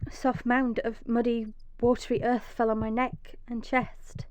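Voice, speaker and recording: An actress playing a desperate, vengeful mother trapped underground, narrating intensely in a breathy first-person radio drama monologue. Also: a little high, little pitch movement, a little slow, a little mellow, close to an everyday voice. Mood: fading, exhausted. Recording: echoey, slightly dull recording.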